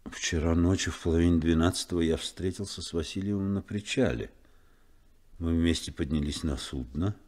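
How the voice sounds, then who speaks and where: An actor playing a principled first mate in a 1970s Soviet radio play, speaking in a measured, probing manner. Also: male voice, deep voice, darkish tone. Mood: calm, probing. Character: principled ship's first mate, calm, probing male officer.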